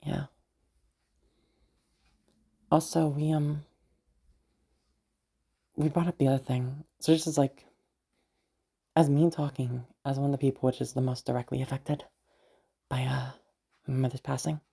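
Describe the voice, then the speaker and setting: A woman acts out a young noblewoman mage in an intimate audio roleplay. Her voice is low and mellow, with a gravelly fry through it. She talks in halting, stammering bursts, flustered yet fondly protective of her knight. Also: little pitch movement, heavily acted. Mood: earnest, protective. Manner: halting, stammering, giggly, mild swearing.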